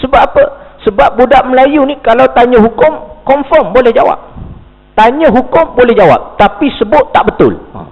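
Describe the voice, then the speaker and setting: A man's voice: very high voice, sing-song, moderate pace. A man with a Malaysian Malay accent, a religious teacher giving an evening mosque lecture. He reads from a text, then explains it in casual asides with frequent "ha" interjections and rhetorical questions. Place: mosque hall. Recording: dull, reverberant mosque recording.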